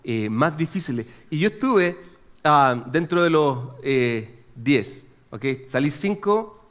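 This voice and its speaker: A Chilean man in his thirties preaches with a lively, chatty delivery. His voice sits high for a man, and he keeps checking in with his listeners. He tells a personal story in a conversational way. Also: slow. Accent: Chilean Spanish. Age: 30s. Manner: chatty and animated, frequent restarts and "¿ok?" check-ins. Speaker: pastor.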